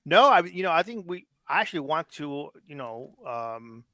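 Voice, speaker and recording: A man's voice: fairly high pitch, sing-song, normal speed, pushed and bright, no room sound. A man born in Mexico whose first language is Spanish, with a Los Angeles Mexican American accent, talking politics on a podcast in a blunt, profane, heated way with many restarts. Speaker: podcast guest. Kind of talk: casual political discussion.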